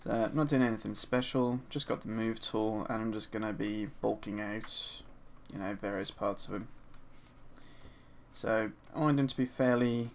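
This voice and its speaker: A man with a slightly hoarse voice from a sore throat narrates a screen-recorded 3D sculpting tutorial in a relaxed, chatty way, with frequent ums and uhs. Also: lowish voice, normal speed, slight background noise. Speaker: 3D art instructor. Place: at his desk, voice-over for a screen recording.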